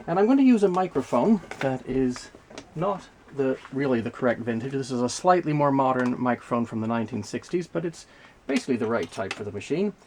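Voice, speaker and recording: A man giving an unscripted, hands-on technical demonstration as a video host, explaining things in a measured, methodical way. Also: mid-range voice, a little mellow. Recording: clear background noise, mechanical clicks.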